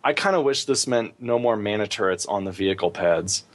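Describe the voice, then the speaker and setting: A man's voice: fairly low pitch, animated, brisk. A man co-hosting a gaming podcast, talking casually and giving his opinions freely. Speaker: podcast co-host.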